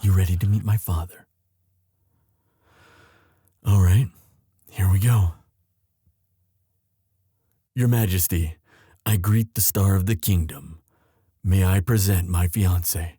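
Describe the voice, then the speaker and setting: A tender young crown prince, acted by a man for a romantic audio drama, speaks in a low, dark voice with a formal, courtly manner. He is earnest and devoted, halting as feeling takes over, and the sound is close and very dry. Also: slightly rough, no echo, exaggerated voice. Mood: formal, affectionate.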